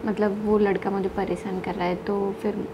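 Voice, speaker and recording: A woman's voice: medium pitch, little pitch movement, moderate pace. A young woman in her twenties chatting casually to a phone camera, vlogging about her travels and mixing English words into her Hindi. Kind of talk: casual vlog chat. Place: small bedroom at home, indoors. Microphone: phone camera at arm's length. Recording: noisy train and station ambience.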